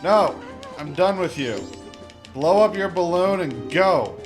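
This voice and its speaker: A man's voice: high-pitched, expressive, takes it slowly. A young man giving casual, rambling gameplay commentary with informal, mock-exasperated asides. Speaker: gamer, Let's Play host. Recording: game music behind the voice. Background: video game music.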